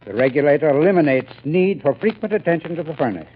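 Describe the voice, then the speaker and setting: An actor playing an enthusiastic, friendly heating expert giving a 1930s radio sales talk. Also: male voice, on the high side, expressive, quick pace, lightly acted. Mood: earnest, folksy. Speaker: sponsor's spokesman. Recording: tinny, hissy old radio recording.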